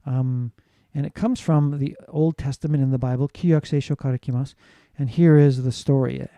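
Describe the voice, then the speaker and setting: A man's voice: mid-range voice, fairly even intonation, normal speed, dark tone, no room sound, highs cut off. A man from the United States giving a classroom lecture on phonetics, explaining steadily and often asking "right?" to check in with students. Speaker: English teacher.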